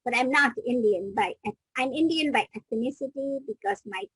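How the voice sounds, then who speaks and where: Husky middle-aged woman from Kenya, reflective. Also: sits high, lively intonation, normal speed, a bit bright, no room sound, low-quality recording. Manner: reflective, restarts sentences mid-thought. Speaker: guest, business coach. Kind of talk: interview storytelling.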